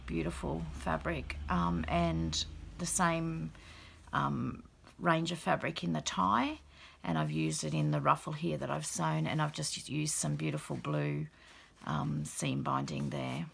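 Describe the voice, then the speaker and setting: Croaky, gravelly woman showing a handmade journal. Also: low-pitched. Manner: relaxed, many "um" fillers, soft and chatty. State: croaky after the flu. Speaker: craft channel host. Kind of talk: casual show-and-tell of a handmade journal. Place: indoors at a craft table. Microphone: overhead camera, hands only.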